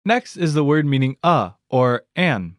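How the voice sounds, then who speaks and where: A male narrator for a language course, reading scripted prompts in an even, orderly pattern. His pitch moves a lot, and the sound is dry and close. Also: measured pace, no room sound. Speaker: lesson narrator.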